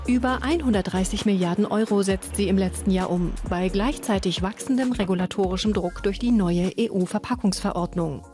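A woman narrating a TV news report in a measured, even reading style. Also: medium pitch. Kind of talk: scripted voice-over narration. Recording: voice-over with faint music behind.

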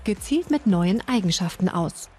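Woman, news voice-over, measured reading, faint music underneath. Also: mid-range voice, lively intonation, darkish tone. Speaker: news narrator. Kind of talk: scripted voice-over narration.

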